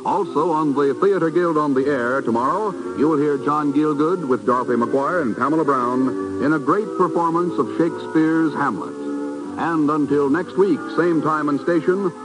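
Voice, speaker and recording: A man with an American accent reading scripted announcements and sponsor pitches in polished, emphatic 1950s radio style. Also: normal speed, rough recording. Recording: vintage 1950s radio broadcast. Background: music.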